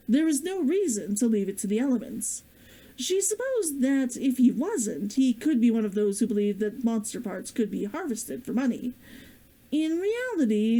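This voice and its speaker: A woman with a fairly high, mellow voice works as an author-streamer. She delivers in an expressive storybook manner, gentle yet animated, as if sharing her own novel with viewers. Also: poor audio. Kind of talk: expressive storybook read-aloud and chat.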